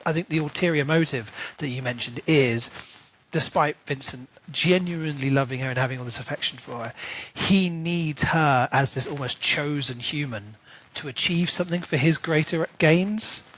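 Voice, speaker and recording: A man's voice: a little high, normal speed, a bit bright, slight background noise, low-quality recording. A man co-hosting a podcast, talking through a book in a chatty, rambling way full of "like" and "you know". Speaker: podcast co-host. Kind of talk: casual book discussion.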